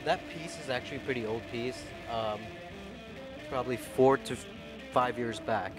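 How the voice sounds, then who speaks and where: A man's voice: medium pitch, moderate pace, rough recording. Brief: male drummer from Sri Lanka, easygoing storyteller, music in background. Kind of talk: casual interview answers. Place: music club.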